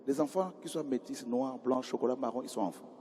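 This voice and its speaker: A man's voice: medium pitch, fairly quick, husky. Man, preacher, sermon, conversational, asks the congregation questions, long hall reverb. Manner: conversational, questions the congregation, swings from quiet to loud cries. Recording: hall reverb with faint ambience.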